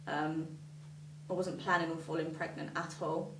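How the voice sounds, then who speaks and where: A woman's voice: low voice, level tone, normal speed, vocal fry, noisy background. Matter-of-fact 19-year-old woman. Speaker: young mother. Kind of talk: personal testimony. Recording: roomy, distant, music behind.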